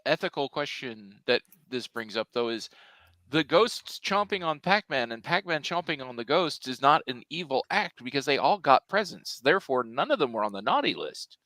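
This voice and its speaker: A man's voice: mid-range voice, sing-song, normal speed, bright, forceful tone, no echo, poor audio. A man in his fifties chatting casually on a panel, joking in a wry, mock-serious way. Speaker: convention panelist.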